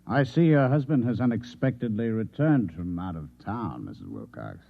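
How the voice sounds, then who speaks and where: Cold Martian infiltrator posing as reporter: formal, menacing, widely swinging pitch, narrow vintage radio sound. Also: male voice, big pitch swings, creaky, no echo, exaggerated voice. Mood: probing, insinuating. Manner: formal, measured, quietly menacing. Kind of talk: golden-age radio drama acting. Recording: vintage radio broadcast, narrow phone-like band.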